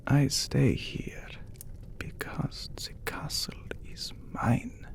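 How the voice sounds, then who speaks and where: A man playing an immortal, brooding vampire lord in an ASMR audio drama, with a breathy whisper and solemn, formal phrasing. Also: mid-range voice, very slow. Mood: proud, guarded. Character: handsome immortal vampire count, brooding, menacing lord of castle.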